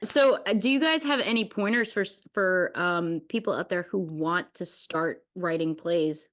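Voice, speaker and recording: A woman's voice: lowish voice, normal speed, fairly bright tone. Encouraging woman hosting a podcast. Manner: encouraging, emphatic, informal. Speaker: podcast host. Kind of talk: casual podcast interview.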